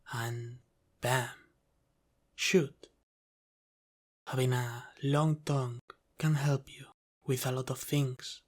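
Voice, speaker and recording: A man playing a teasing, menacing mafia boss with an Italian-American accent in an ASMR roleplay, speaking deliberately and sometimes dropping into a whisper. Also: mid-range voice, darkish tone. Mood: teasing, instructive. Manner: slow, deliberate, teasing, with breathy sighs and whispered phrases.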